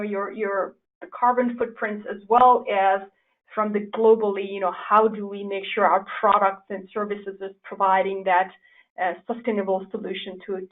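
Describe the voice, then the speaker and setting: A woman from Nepal presents at a conference over a video call, hesitating often and restarting her sentences. Her voice sits in an ordinary middle range. The audio is narrow and tinny, like a phone line. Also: medium pitch. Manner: hesitant with frequent fillers and restarts.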